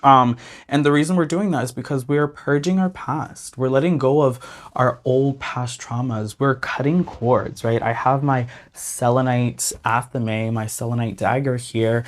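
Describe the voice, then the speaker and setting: A man with a slightly hoarse voice hosting a tarot reading channel, talking casually and earnestly to his viewers. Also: medium pitch, steady tone, measured pace. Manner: earnest, often adds "okay?" and "you guys". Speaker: tarot reader and channel host. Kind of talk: casual talk to camera.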